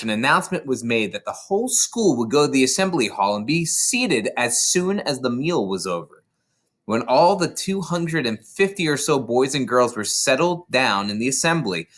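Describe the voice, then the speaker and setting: A man narrating a children's book aloud in an expressive storytelling style. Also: medium pitch, normal speed. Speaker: storybook reader. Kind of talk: animated children's audiobook narration.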